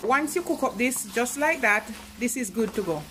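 Jamaican woman, chatty cooking vlog, fairly high voice, frying pan sizzling in the background. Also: animated, normal speed, fairly bright tone. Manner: chatty, keeps calling to viewers. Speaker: cooking vlogger. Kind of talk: casual cooking demonstration. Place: home kitchen at the stove. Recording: sizzling pan and kitchen noise behind.

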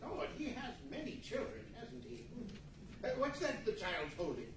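A man playing an anxious, halting, pleading old miser in a 1940s-style radio play. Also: very high voice, wildly swooping pitch, full character voice. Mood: shocked, saddened. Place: large meeting hall before an audience. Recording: distant echoey room with faint noise.

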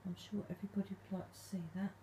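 A woman vlogging at night from her dark bedroom, speaking softly and calling out to spirits, her voice a little stuffed up from hay fever. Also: medium pitch, level tone, rapid-fire, a little hiss or hum, rough recording. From England. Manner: hushed, soft-spoken, calling out to spirits. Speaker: paranormal vlogger. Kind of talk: quiet late-night ghost hunting vlog.

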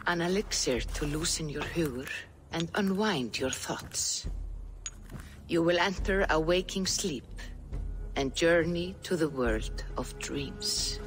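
A solemn Viking seeress, slow and deliberate, with a mystical, measured delivery. Soft game music plays beneath her. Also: low voice. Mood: guiding. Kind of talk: video game cutscene.

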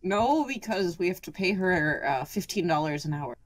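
A woman's voice: fairly low pitch, lively intonation, normal speed, heavy background noise, close to an everyday voice. A woman voicing a casual, bossy elderly cartoon emperor in an offhand way, improvised for a comedy fan dub.

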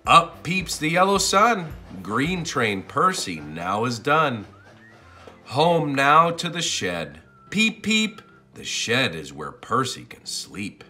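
A man reading a children's storybook aloud in an expressive, sing-song and deliberate way. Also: medium pitch, husky, faint music behind. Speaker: storybook narrator.

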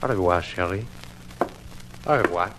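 An old, slightly noisy radio drama recording features a meek, lovesick man. His voice is low and his delivery earnest, theatrical and flowery. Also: expressive, normal speed. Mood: sorrowful. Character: florid, lovesick stranger, meek and pleading. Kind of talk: 1950s radio drama acting.

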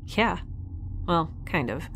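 A woman's voice: on the low side, animated, slow, fairly bright tone, slight background noise, full character voice. A woman acting a flustered, sardonic young scientist stranded in space, in a conversational, rambling audio-drama style. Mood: exasperated. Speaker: narrator and lead of a sci-fi audio drama.

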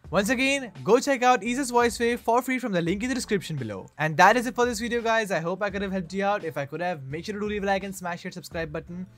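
A man's voice: sits high, quick pace, few pauses, fairly bright tone. A man hosting a tech review, reading scripted tutorial narration. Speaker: tech reviewer, channel host. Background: background music.

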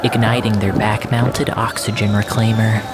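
A man acting as the solemn first-person narrator of surreal fiction, with a deliberate, literary delivery. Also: lowish voice, little pitch movement, very noisy, natural voice. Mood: solemn, intense.

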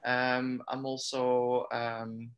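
A man in his thirties from Belgium, a designer talking from his studio on a video call, steady and measured. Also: mid-range voice, dull audio. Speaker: designer, panelist. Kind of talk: casual panel talk. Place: studio workspace.

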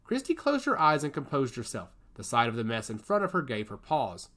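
Expressive male audiobook narrator. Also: medium pitch, fairly quick. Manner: expressive, storytelling cadence.

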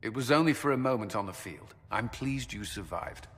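A man's voice: mid-range voice, animated, normal speed, fairly bright tone, in a large hall, lightly acted. An actor playing an earnest male military commander who speaks firmly and directly.

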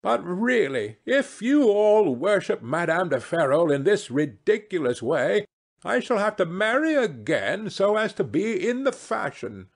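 Man voicing an arch, high-pitched elderly society hostess. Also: very animated, measured pace, vocal fry, heavily acted. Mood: playful reproach. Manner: arch, exclamatory, theatrical. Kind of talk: audiobook character voice.

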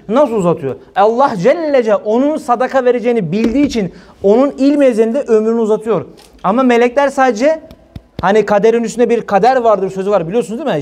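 Male preacher, middle-aged, high-pitched. Informal, exhorting sermon full of emphatic rhetorical questions. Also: darkish tone. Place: small indoor room at a preaching desk.

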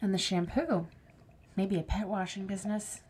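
A woman's voice: medium pitch, fairly even intonation, normal speed, darkish tone, husky, close to an everyday voice. Actress doing a bitter lady who's just a living head, sarcastic n theatrical, audio drama style. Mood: wry, suspicious. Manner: cutting, sarcastic, theatrical delivery. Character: bitter, wounded woman kept alive as a severed head.

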